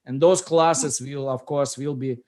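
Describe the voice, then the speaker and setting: A man's voice: medium pitch, fairly even intonation, a little slow. A middle-aged man with a Russian accent, hosting a casual chat about his app and often searching for words. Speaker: app founder and host. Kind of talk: casual video call chat.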